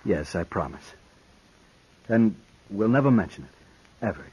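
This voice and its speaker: A man playing a proper young Eastern gentleman in a 1950s radio drama, formal and pleading. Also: lowish voice, sing-song, measured pace, husky, heavily acted, low-quality recording.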